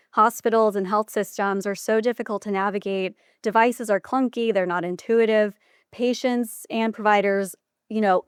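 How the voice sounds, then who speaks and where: Woman doctor on a podcast, smooth clear voice, dry close sound, explains stuff point by point. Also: mid-range voice, no echo. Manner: articulate, organized, point by point. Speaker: podcast guest, physician. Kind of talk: interview answers, measured explanatory talk.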